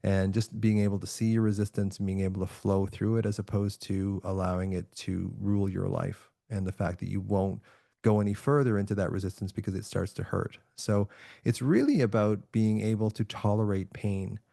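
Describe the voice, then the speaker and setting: A middle-aged man from Canada talks in a calm, reflective, soothing way as a podcast guest. His voice sits low with a gravelly edge, and the sound is dry and close. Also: moderate pace, no echo. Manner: calm, reflective, soft-spoken with frequent restarts. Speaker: podcast guest, author and neuroscientist. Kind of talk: relaxed remote podcast interview.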